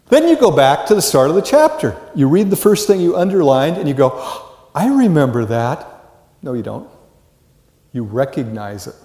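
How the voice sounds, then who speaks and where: Older American lecturer in an echoey hall. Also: male voice, mid-range voice. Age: 60s or older. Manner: animated storytelling, rhetorical questions, acts out brief dialogues. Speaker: college psychology lecturer. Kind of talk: live lecture to students. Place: large lecture hall with reverb.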